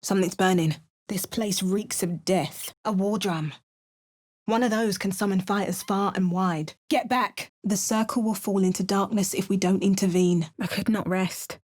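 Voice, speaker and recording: A woman's voice: medium pitch, fairly even intonation, moderate pace, a little mellow, natural voice. A woman voicing a wry, resolute female adventurer in a video game, making dry understated remarks and narrating. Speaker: player character.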